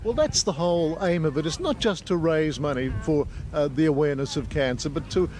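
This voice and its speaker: A man's voice: a little high, brisk. An Australian man working as a television field reporter, interviewing on location in a chatty, folksy way. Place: outdoors at a community fundraising event. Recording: outdoor event noise, loud music behind.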